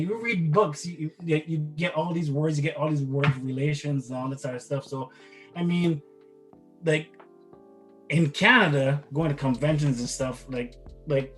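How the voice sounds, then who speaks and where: A man's voice: on the high side, rough recording. A middle-aged man from Barbados giving casual, rambling interview answers, repeating words and often checking with "right?". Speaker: interview guest, father of the other guest.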